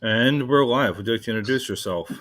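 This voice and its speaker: A man's voice: medium pitch, brisk, heavy background noise. A man hosts a music podcast and puts brief, direct questions to his guest. His delivery is plain and conversational. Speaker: podcast host. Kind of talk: interview questions.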